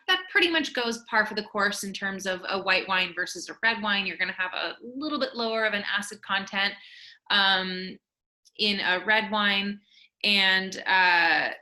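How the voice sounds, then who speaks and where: A woman hosts a virtual wine tasting over a video call. She has a mid-pitched voice with a bright, forward tone. She shares informative notes in a friendly way, with frequent ums. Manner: friendly, informative, many fillers.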